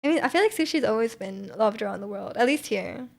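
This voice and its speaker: A woman's voice: on the high side, expressive, quick pace, gravelly. A young woman streamer in casual chat, rambling through viewer comments with lots of fillers and restarts. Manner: rambling, many fillers and restarts, frequent laughter.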